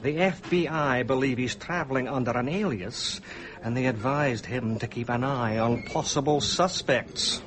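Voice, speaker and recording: An actor playing a dry, self-assured surgeon among ship passengers in a radio drama, speaking with confiding authority. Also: male voice, mid-range voice, moderate pace, background noise, natural voice.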